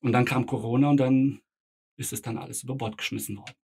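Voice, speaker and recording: A man's voice: mid-range voice, fairly even intonation, fast talker, vocal fry, a little mellow, husky. A middle-aged man with German parents from Bosnia, a tram driver, answering interview questions casually with hesitant fillers and short agreements.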